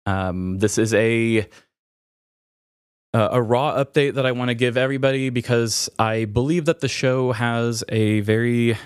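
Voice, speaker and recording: A man hosting a podcast, talking candidly and personally in a hesitant, halting way that often interrupts itself. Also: mid-range voice, clean tone, dry recording, clean studio sound. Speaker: podcast host.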